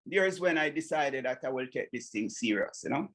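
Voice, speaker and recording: A Jamaican man, a singer, answering interview questions in a relaxed way and often adding "you know what I mean". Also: medium pitch, normal speed. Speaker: singer and songwriter guest.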